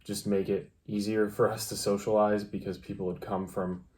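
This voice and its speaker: Young man, deep flat voice. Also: moderate pace. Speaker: vlog co-host. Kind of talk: casual storytelling to camera.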